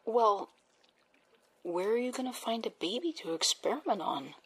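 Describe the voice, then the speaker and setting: A woman's voice: a little high, animated, normal speed, breathy edge. A woman playing a skeptical research assistant. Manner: questioning, dry, practical. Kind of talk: audio drama acting.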